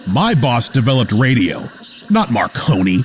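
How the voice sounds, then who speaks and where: An actor playing a loyal, watchful lab assistant who narrates earnestly in a radio theater drama. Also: male voice, medium pitch, sing-song, measured pace. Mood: proud, earnest. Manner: earnest, plain storytelling. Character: loyal, watchful male lab assistant recounting his boss's life. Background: music.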